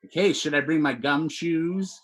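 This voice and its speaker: A man hosting a dating-show bit in improv comedy, talking in a hesitant, halting way full of fillers over a home video call. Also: fairly high pitch, a bit bright. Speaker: game show host.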